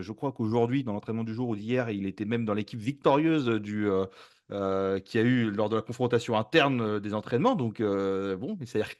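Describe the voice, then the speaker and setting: A man hosting a casual football fan show, halting and wordy, often circling back to rephrase. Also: medium pitch, darkish tone. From France. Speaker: host of a football fan channel. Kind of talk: casual sports chat.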